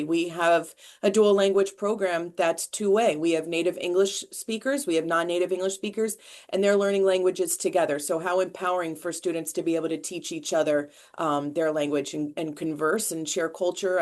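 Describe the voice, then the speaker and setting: A woman presenting at a school committee meeting, measured and pausing often with fillers. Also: on the low side, darkish tone. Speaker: multilingual learner department staff presenter.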